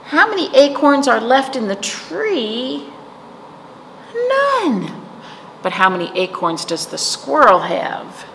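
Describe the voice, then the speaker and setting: A woman's voice: high voice, wildly swooping pitch, takes it slowly, gravelly, a bit bright. A middle-aged woman leading storytime for young children, explaining simply and checking in with questions. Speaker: children's librarian hosting virtual storytime. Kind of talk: storytime for young children, rhymes and read-aloud. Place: small indoor library room, seated. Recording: echoey room with background noise.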